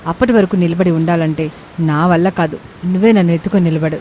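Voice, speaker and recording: A woman's voice: on the low side, fast talker, dark tone, slight background noise, natural voice. A woman playing a selfish, panicky young wife who complains dramatically in a moral story. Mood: whining, demanding. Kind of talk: Telugu moral story voice acting.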